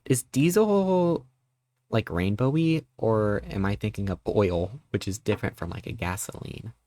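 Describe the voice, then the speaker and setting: Man in his thirties, streamer, low voice, dry close sound, playful rambling, squeaky pitch jumps. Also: unhurried, mellow, no room sound. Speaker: live streamer. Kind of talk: casual live-stream chat reading chat messages.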